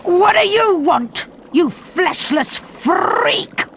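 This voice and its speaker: A woman's voice: very high-pitched, lively intonation, drawn-out words, vocal fry, background noise, exaggerated voice. A woman voicing an eccentric, doting, volatile witch in a game cutscene, theatrical and given to swings between murmurs and shrieks. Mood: shrill outrage. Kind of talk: animated game cutscene acting.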